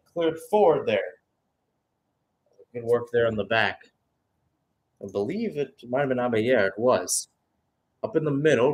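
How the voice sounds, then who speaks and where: A man gives live radio play-by-play of a football match. His mid-pitched voice follows the action, lifting when a chance comes and easing back into steadier description between plays. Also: a little mellow.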